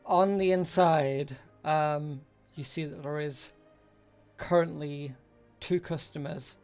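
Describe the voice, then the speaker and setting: A game master improvising narration for a tabletop role-playing game, haltingly, searching for words as the scene is described. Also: medium pitch, creaky, darkish tone.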